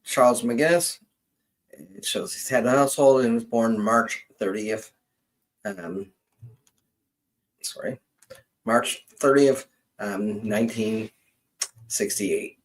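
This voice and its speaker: A man hosting a casual live genealogy session, explaining things in a halting, hesitant way and often trailing off mid-sentence. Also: mid-range voice, rough recording.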